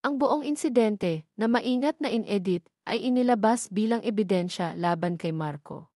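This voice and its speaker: A woman's voice: medium pitch, expressive, moderate pace, warm tone, dry recording, clean studio sound. A woman delivering scripted narration for an audio drama story.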